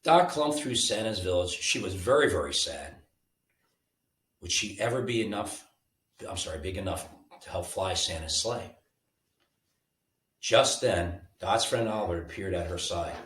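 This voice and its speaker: A man with a lowish voice reads a children's bedtime story, his pitch moving in lively swings. He is informal and animated. The recording is roomy, with the mic at a distance. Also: unhurried, pauses often, slight background noise. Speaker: storybook reader.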